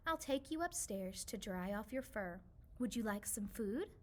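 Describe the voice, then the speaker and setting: A woman voicing a kindly, lonely old woman in a children's storybook, gentle and soothing as she reminisces. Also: mid-range voice, animated, measured pace. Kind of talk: children's storybook read-aloud.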